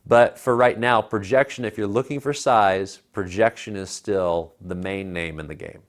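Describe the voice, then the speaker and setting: American guy in his 30s, lowish voice, chatting and explaining gadgets. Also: moderate pace. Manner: conversational, explanatory. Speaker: tech show co-host reviewing home theater gear. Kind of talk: casual tech commentary. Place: living room at home, indoors. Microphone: lapel mic.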